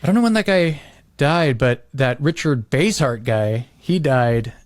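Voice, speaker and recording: A man chatting casually on a podcast in an excitable, emphatic way. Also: medium pitch, measured pace, no room sound, clean studio sound. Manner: excitable, emphatic, repeats phrases.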